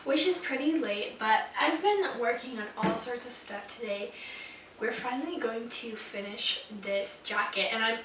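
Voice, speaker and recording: A young woman in her twenties with a slightly hoarse voice from being sick, casually explaining sewing steps with lots of ums. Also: high voice, pushed and bright, a little hiss or hum. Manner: chatty, filler-heavy explaining, many ums. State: hoarse, recovering from illness. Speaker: sewing vlogger. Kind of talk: casual tutorial vlog. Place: home sewing room at night. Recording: echoey room, distant camera mic.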